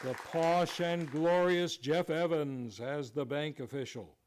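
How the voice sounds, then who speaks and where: A man with a slightly high voice announces an old-time radio show. His delivery is formal, scripted and theatrical, with faint noise behind him. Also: slow, some chatter behind.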